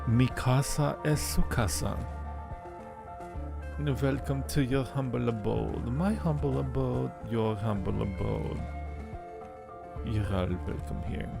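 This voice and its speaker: Guy streaming, gravelly fry in his voice, unhurried, playful chatter repeating himself, bit of music behind. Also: mid-range voice. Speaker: livestream host. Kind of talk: casual livestream chat.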